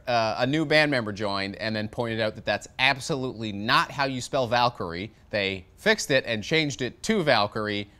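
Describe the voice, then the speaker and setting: Animated, bright-voiced man hosting a review show. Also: smooth. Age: 30s. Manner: sarcastic, jokey, wide swings in pitch. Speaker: metal review show host. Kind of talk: comedic scripted review. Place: studio set with shelves of records.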